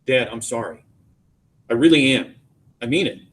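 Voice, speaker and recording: A man's voice: mid-range voice, normal speed, fairly bright tone, natural voice. A man voicing a troubled, wounded young son in audiobook dialogue, speaking in an emphatic, pleading way. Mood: apologetic.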